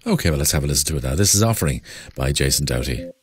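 Fast, very deep male radio host. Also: lively intonation, music in the background. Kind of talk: radio song introduction.

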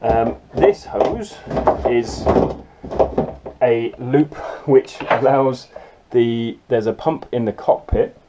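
A man's voice: mid-range voice, steady tone, slow. A man in his forties giving a casual, matter-of-fact walkthrough of a small yacht's cabin, filmed on a close handheld camera in a cramped space. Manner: matter-of-fact, practical, with frequent ums. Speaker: sailor giving a boat tour. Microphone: handheld action camera close up. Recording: close camera mic with handling clicks and noise.

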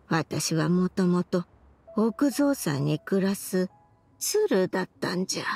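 Slow, husky, remorseful old grandmother. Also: on the low side, a little mellow, heavily acted. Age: older. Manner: slow, archaic elderly way of speaking. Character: remorseful old grandmother, once a crane, confessing her past. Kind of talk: Japanese game voice acting, dramatic monologue.

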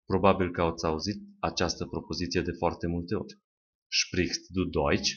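A man teaching German, saying each phrase slowly and repeating it. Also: sits low, little pitch movement, fairly bright tone. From Romania. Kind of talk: slow language lesson with repetition. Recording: dull lo-fi recording.

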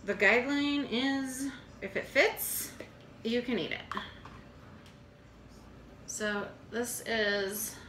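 A woman in her thirties casually showing her meal prep at home, talking in an unhurried way with many fillers. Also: mid-range voice, bright, forceful tone. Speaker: fitness coach vlogger. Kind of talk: casual how-to vlog. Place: home kitchen, indoors. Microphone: camera on the counter. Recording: echoey kitchen with room noise.

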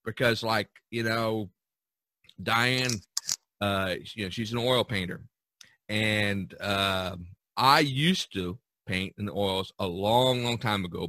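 A man's voice: lowish voice, unhurried, sharp tone, dry recording. A man hosting a podcast in casual, rambling, chatty conversation with artist friends. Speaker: podcast host. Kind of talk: casual chat among artists.

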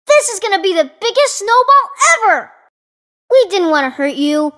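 A plucky young boy hero from a video game, with a very high, wildly animated voice. He is exuberant and playful, calling out bravely in unhurried, bright tones. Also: heavily acted. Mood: eager, earnest. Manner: exuberant, playful, heroic exclamations. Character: excitable, brave young boy adventurer with a yeti friend.

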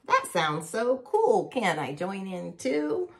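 A woman's voice: medium pitch, big pitch swings, slow pace. A woman voicing a boisterous, eager monkey in a children's storybook, whooping and exclaiming. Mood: excited.

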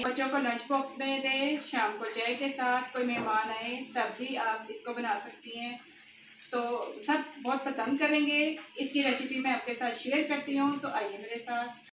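A high-voiced woman talks viewers through a recipe one step at a time. She is recorded in an echoey home kitchen with a faint hum of noise in the background. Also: a bit bright. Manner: instructive, addresses viewers directly. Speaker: cooking channel host.

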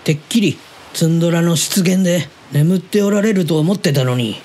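A man playing an easygoing, chatty middle-aged uncle who is soft on women, in a Japanese audio drama. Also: fairly high pitch, expressive, moderate pace. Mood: encouraging, easygoing. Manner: casual, blunt, chatty.

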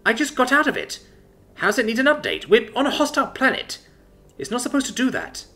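A man's voice: very high voice, huge pitch swings, a little fast, sharp tone, soft background music, pitched above the performer's own voice, heavily acted. A man voicing a brusque, exasperated female military captain who talks in curt, sarcastic lines.

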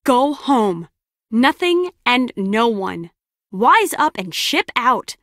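A woman's voice: on the high side, animated, unhurried, fairly bright tone, no echo, clean studio sound. An actress playing a brusque, sarcastic lone-wolf female spy in a video game, with a curt, biting delivery. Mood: cold, threatening. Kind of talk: scripted game character dialogue.

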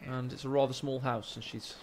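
A man with a deep voice casting a strategy game live, excitable and joking, speaking into a desk microphone in a small room at home. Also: brisk, a little hiss or hum. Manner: excitable, jokey, rising with the action. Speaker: game caster. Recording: game sound effects under the voice. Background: game gunfire and ambience.

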